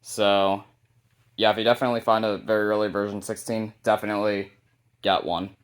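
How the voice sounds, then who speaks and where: A man with a fairly low voice hosts a hobby video. He talks casually, presenting items from a collection one by one in an informal show-and-tell way. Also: level tone, brisk. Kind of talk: casual collection showcase narration.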